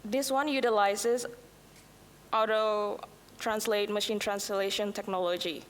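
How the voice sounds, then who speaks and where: A woman whose first language is Indonesian, presenting a scripted software demo at a conference, with a few slips and restarts. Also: medium pitch, fairly even intonation, a little slow. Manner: reads prepared lines, occasional slips and restarts. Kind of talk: scripted product demo talk. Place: conference hall. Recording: long hall reverb, faint ambience.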